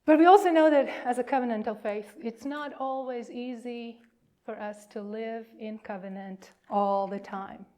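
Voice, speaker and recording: A woman minister leading worship, reading reverently in a measured, emphatic way with deliberate pauses. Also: a little high, warm tone. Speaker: minister leading a church service.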